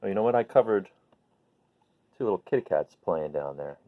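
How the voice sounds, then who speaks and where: A man hosting a children's bedtime read-aloud, talking gently and simply to viewers in an unhurried way. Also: low voice, expressive. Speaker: host reading picture books to children. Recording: phone-like narrow-band sound.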